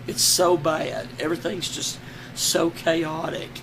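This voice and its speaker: A hoarse man lying on the floor at home, talking slowly and despairingly in halting, trailing sentences. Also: fairly high pitch, little pitch movement, background noise. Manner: slow, halting, despairing, many trailing restarts. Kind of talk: casual personal vlog.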